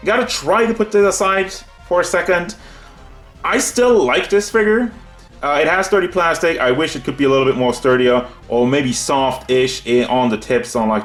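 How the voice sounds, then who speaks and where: A man's voice: a little high, fairly bright tone. A man giving a casual, unscripted figure review in a rambling, conversational and slightly nitpicky way. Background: background music.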